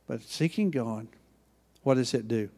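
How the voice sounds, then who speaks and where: A man with an Oklahoma accent preaching a sermon in a church, with a folksy manner, dropping low and quiet and then rising loud. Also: measured pace, gravelly, breathy edge, big-room sound. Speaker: pastor.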